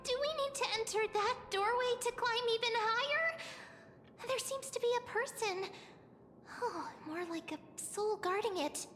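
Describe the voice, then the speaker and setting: A woman's voice: very high-pitched, a little slow, fairly bright tone, a little hiss or hum. A woman voicing a small, childlike fairy companion creature, curious and chirpy, in a video game. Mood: curious, cheerful. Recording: long hall reverb, game music.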